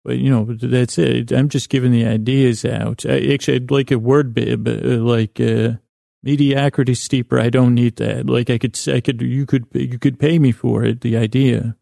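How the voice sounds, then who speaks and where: A low-voiced man hosts a sleep podcast with a creaky, mellow delivery. He meanders through half-finished thoughts in a halting, self-deprecating way, often doubling back on himself. The sound is close and dry. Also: flat delivery, no room sound. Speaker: podcast host. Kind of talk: rambling bedtime podcast intro monologue.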